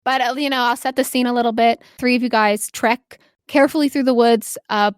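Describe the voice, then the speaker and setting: A woman's voice: fairly high pitch, steady tone, fairly quick, clean tone, no room sound, studio quality. A woman casually running a tabletop roleplaying game as game master, explaining things informally with a giggly manner.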